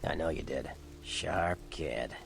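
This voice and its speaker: An actor playing a gruff, streetwise vampire mentor in a video game, with a gravelly voice and a wry, colloquial way of talking. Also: male voice, extremely low pitch, slow pace, slight background noise, full character voice. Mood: thoughtful. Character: gruff, streetwise male vampire mentor, shrewd and laid-back. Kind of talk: video game character dialogue.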